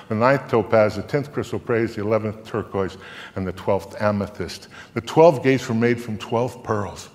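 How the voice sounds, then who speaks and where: An older man, around his sixties, recites scripture as a live dramatic reading. His delivery is solemn and theatrical, with a medium-pitched voice. Long reverb from a large theatre hall surrounds him. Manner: theatrical, solemn, measured, swelling to loud declamation and dropping to hushed tones. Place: large theatre stage, indoors.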